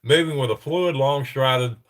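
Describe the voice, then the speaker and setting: A man's voice: mid-range voice, a little slow. A middle-aged man with a stuffy, congested voice, hosting a casual livestream and reading reports aloud with rambling, chuckling asides. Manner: rambling, chuckling, self-interrupting. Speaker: livestream host.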